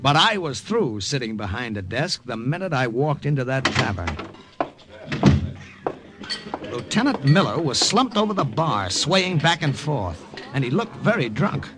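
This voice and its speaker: A man plays a wisecracking young sergeant who draws cartoons, narrating his own wartime tale with a lively, bouncing delivery. The sound is an old radio broadcast, with faint music running underneath. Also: mid-range voice, moderate pace, close to an everyday voice. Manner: breezy, jokey storyteller. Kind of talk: 1940s radio acting.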